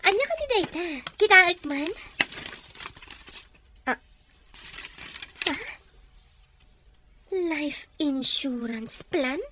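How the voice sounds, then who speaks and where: A woman's voice: high-pitched, very animated, drawn-out words, long pauses, background noise, heavily acted. A woman playing a suspicious, questioning wife in an Ilocano audio drama, emotive and with a Filipino accent.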